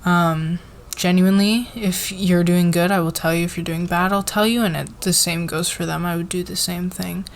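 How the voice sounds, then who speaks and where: A woman playing a flustered, fair-minded company boss in a casual roleplay ASMR, with a halting, informal, giggly manner. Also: lowish voice, steady tone, a little hiss or hum, lightly acted. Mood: earnest, reassuring.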